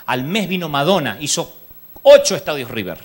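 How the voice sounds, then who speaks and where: A man with an Argentine accent preaching a sermon at a church congress, telling lively stories and mimicking the people in them. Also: fairly high pitch, moderate pace. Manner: lively storytelling, mimics people in his anecdotes; rises to loud exhortation.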